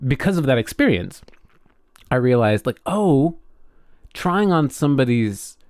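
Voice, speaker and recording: A man's voice: mid-range voice, big pitch swings, a little mellow, dry recording, studio quality. A man co-hosting a podcast in casual conversation, often stopping and restarting his sentences as he thinks aloud. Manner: halting, frequent self-interruptions. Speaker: podcast co-host.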